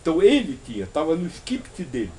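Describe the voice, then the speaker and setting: A man with a Brazilian accent speaks in a very high register with swooping, lively intonation. He teaches a group informally, repeating phrases for emphasis and posing rhetorical questions. There is slight noise on the live recording. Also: fairly quick. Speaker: spiritual teacher leading a group discussion. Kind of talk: informal spiritual talk answering questions.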